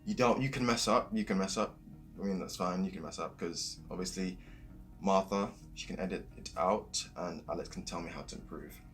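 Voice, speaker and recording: A man's voice: deep voice, normal speed, fairly bright tone, faint music behind. A young man talking informally about acting, often repeating and rephrasing himself. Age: young adult. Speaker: youth theatre actor. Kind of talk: informal interview-style reflection. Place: at home.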